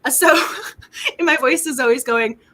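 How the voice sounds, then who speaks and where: A woman hosting a book club livestream in casual chat, excitable and quick to laugh, her voice getting worn. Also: high voice. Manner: excitable, laughs often, repeats words.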